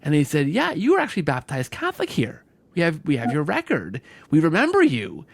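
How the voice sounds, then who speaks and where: A man's voice: fairly high pitch, huge pitch swings, brisk, vocal fry, no room sound. A man hosting a conversational interview, effusive, repeating words and often tagging sentences with "right?". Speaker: podcast host.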